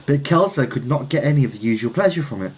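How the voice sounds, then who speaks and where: Male story reader from Birmingham, mid-pitched, measured, reflective literary narration. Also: animated, darkish tone. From Birmingham, England.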